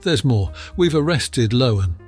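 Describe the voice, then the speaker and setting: A man acts out a confident audiobook police inspector in a middle-pitched voice. He is blunt and matter-of-fact, with everyday colloquial phrasing. Light music runs underneath. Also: animated, measured pace, a little mellow, natural voice. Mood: reporting news. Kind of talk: acted audiobook dialogue.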